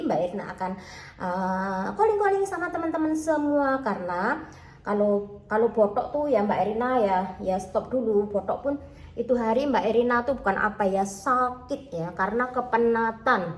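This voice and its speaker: A woman's voice: medium pitch, echoey room. A woman from Indonesia with a Javanese accent mixed with Malay, chatting casually to her viewers from her kitchen and calling out to them again and again. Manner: chatty, keeps saying "teman-teman, ya", talks about herself in third person. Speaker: food-selling vlogger. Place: home kitchen.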